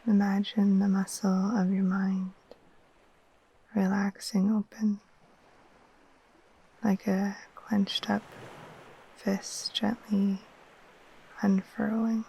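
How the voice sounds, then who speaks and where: A woman gently guiding a sleep meditation in a soft, breathy, half-whispered voice, soothing and unhurried. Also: mid-range voice, poor audio. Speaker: yoga nidra guide. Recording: faint steady wash of ocean waves.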